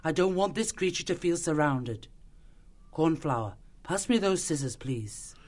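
A man doing a calm, commanding female badger. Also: fairly high pitch, measured pace, pitched above the performer's own voice. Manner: calm, authoritative, gentle. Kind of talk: audiobook character voice.

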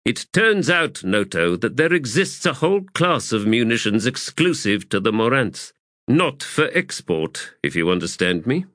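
A man's voice: medium pitch, very animated, a little slow, fairly bright tone, no echo, voice pushed up. A man voicing a secretive, self-assured army commander in an audiobook, explaining with dry authority.

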